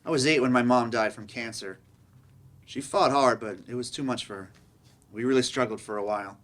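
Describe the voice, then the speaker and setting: A man's voice: medium pitch, fairly quick, fairly bright tone, natural voice. A man playing an earnest young working-class father-to-be, speaking plainly and modestly in a staged play reading. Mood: reflective. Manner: plain, unassuming.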